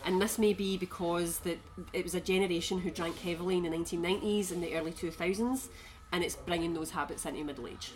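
A woman co-hosting a podcast, talking through researched statistics in a measured, informative way. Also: lowish voice, steady tone, a little mellow, poor audio. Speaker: podcast co-host. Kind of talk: casual chat with researched facts.

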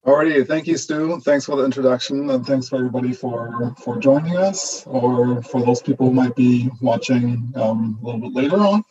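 German-accented man, husky and lo-fi. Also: medium pitch, moderate pace, hardly any pauses. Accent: German-Canadian mix. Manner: relaxed, rambling, many fillers and restarts. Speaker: guest lecturer, associate professor. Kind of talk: online lecture.

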